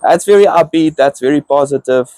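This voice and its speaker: A man's voice: on the high side, fairly quick, warm tone, dry recording. A man interviewing a new church team member, affirming and reflective, thinking aloud as he goes. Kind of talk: casual interview.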